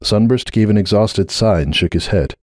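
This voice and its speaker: A man's voice: fairly low pitch, moderate pace, no room sound, studio quality. A man reading scripted dramatic story narration.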